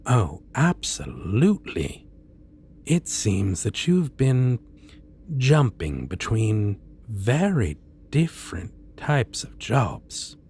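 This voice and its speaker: A man playing a suave, courteous yet menacing supervillain interviewer in a soft-spoken, deliberate ASMR roleplay. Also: lowish voice, huge pitch swings, a little hiss or hum, heavily acted. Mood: approving, businesslike. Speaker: voice actor.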